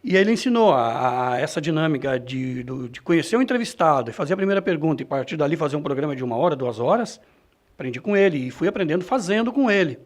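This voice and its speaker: A man answering interview questions about his own experience, in a halting, self-correcting way. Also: fairly high pitch, animated. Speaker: radio reporter and presenter being interviewed. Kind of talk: reflective interview answer, personal anecdotes.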